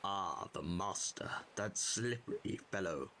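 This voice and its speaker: Male actor as dry officer and gentleman: low voice, expressive swings, formal audio drama style, slight noisy ambience. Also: unhurried, exaggerated voice. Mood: surprised, amused. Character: gentlemanly male military Brigadier, old-fashioned and dry.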